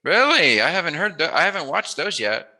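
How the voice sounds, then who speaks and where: A man's voice: fairly high pitch, sing-song, brisk, bright, forceful tone. A man hosting a casual interview, talking in an informal, meandering way. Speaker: interviewer, host.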